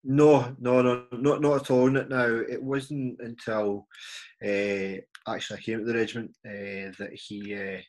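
A man in his forties with a Glaswegian Scottish accent, a podcast guest talking casually and haltingly, repeating words and filling pauses. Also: mid-range voice, level tone, a little mellow. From Scotland. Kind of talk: casual interview chat.

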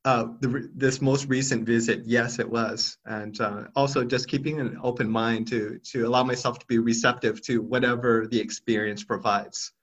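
A man in his thirties, originally from Thailand, answering interview questions thoughtfully with frequent pauses and restarts. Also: medium pitch, fairly even intonation. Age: 30s. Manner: thoughtful, hesitant, many restarts and fillers. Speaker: podcast guest.

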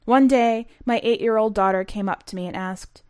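A woman narrating a short story for a podcast in a measured, precise, scripted audiobook style. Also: fairly high pitch, a little mellow.

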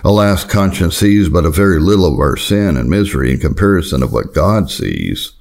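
A man's voice: sits low, animated, brisk, gravelly, a little mellow. A man reading an old Puritan sermon aloud in a solemn, earnest, exhorting manner. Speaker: reader of historical Puritan sermons.

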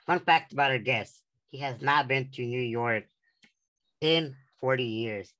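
Bright-voiced teenage podcast host. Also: male voice, medium pitch. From San Diego. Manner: earnest, halting reading with restarts. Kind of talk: interview hosting.

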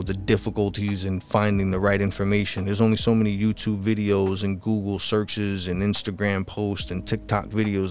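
A man streaming from home at night, talking in a rambling, easygoing way with lots of fillers, his voice a bit raspy. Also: on the low side. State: slightly raspy late at night. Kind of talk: casual solo livestream talk.

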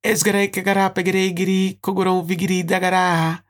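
A man's voice: high voice, flat delivery, normal speed. A man with a Brazilian accent hosting a casual chat, playfully humming garbled song lyrics for a guessing game and laughing often.

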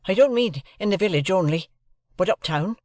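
A man plays a young village lad in an audiobook, his voice lifted high. He is courteous and a little anxious, choosing his words with careful deference. The recording is dry. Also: lively intonation, normal speed, a little mellow, dry recording. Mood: polite, anxious. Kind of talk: audiobook character dialogue.

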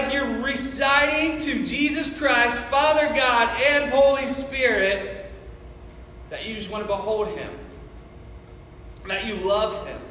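A man who is a pastor preaching casually and praying with a fervent, repeated "Father God" cadence, in a loud and excitable voice. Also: extremely high pitch. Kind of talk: sermon and prayer. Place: large echoey church hall. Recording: echoey hall, faint room noise.

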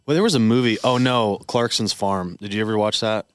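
A man's voice: lowish voice, a little fast, no room sound, studio quality. A man co-hosting a podcast in casual chat, telling stories in a rambling way full of fillers and restarts. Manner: rambling, filler-heavy storytelling. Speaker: podcast co-host.

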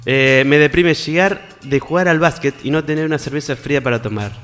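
Male radio host, bright edge, joking chatty delivery; reverberant studio, light music bed. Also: a little high, fairly quick. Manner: laughing, self-mocking banter. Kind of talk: casual radio chat reading listener messages.

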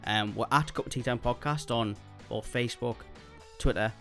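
A man's voice: medium pitch, normal speed, fairly bright tone, soft background music. A man co-hosting a casual chat podcast, teasing and upbeat, swearing now and then. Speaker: podcast co-host.

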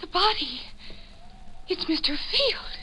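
An actress playing a terrified hotel maid in a 1940s radio thriller, shrill and panicked. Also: female voice, big pitch swings, slow pace, sharp tone, full character voice. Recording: very noisy vintage radio recording.

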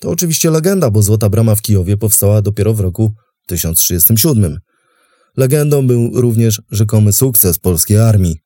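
A man hosting and narrating a scripted history documentary in a measured, articulate storytelling way. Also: fairly low pitch, dark tone, dry recording, clean studio sound. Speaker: host and narrator.

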